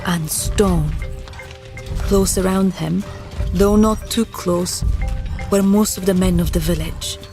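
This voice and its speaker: A woman narrator reading a scripted fantasy story. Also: fairly low pitch.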